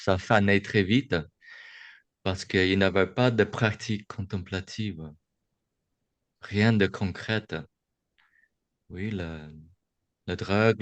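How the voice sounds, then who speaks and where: A man from the United States gives a reflective Buddhist talk to young adults over a video call, slow and halting, often restarting his sentences. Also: low-pitched, dry recording. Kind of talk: reflective dharma talk on a webinar. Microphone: video call microphone.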